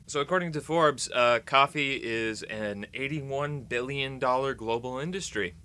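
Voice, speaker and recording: A man's voice: mid-range voice, measured pace, pushed and bright, clean tone. An American man vlogging in casual, rambling chat to camera, playful and tongue-in-cheek with dramatic emphasis.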